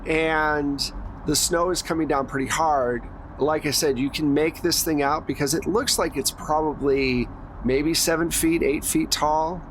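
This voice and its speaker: A man's voice: fairly high pitch, steady tone, unhurried, slight background noise, rough recording. A man running a horror tabletop game as game master, describing scenes in a casual, unscripted way.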